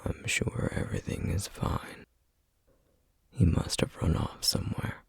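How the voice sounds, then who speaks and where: A man playing a stern, duty-bound flame demon in an ASMR roleplay, speaking in a breathy, husky half-whisper with grave, measured formality. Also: no room sound, close to an everyday voice. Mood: calm, reassuring. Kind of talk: ASMR roleplay, scripted acting.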